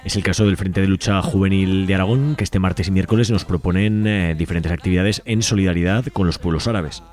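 Low-pitched man co-hosting radio listings. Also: steady tone, brisk, darkish tone. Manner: informal, wry. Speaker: radio show co-host. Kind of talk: casual radio events listings.

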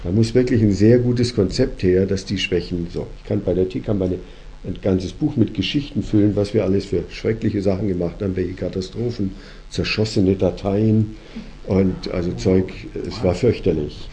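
A German-speaking man with a low, very dark and mellow voice leads a talk like a lecture. He reasons step by step and often pauses on filler sounds. A faint noise sits under the recording. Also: fairly even intonation. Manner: reflective, argumentative, hesitant with fillers. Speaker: host and company founder. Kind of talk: lecturing conversation.

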